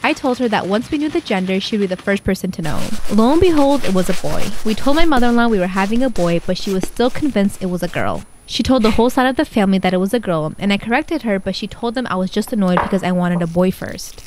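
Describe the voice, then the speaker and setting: A young woman narrates a story from her own life. She moves quickly, in a mid-range voice. Slight noise sits behind her. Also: medium pitch, expressive. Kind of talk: first-person storytime narration.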